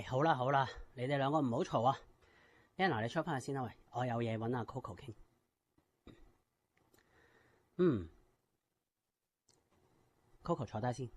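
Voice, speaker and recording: An actor with a Hong Kong Cantonese accent playing an aggrieved, self-important company boss in a radio drama. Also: male voice, medium pitch, darkish tone, breathy edge. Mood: placating.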